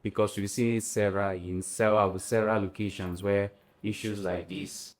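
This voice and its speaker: A man on a panel, giving his final note in a hesitant, measured way. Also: on the low side, level tone.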